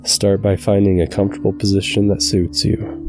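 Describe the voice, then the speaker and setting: A man guiding a meditation from a script in a calm, measured, soothing way. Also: lowish voice, music in the background. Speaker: meditation guide, channel host. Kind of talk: scripted guided meditation narration.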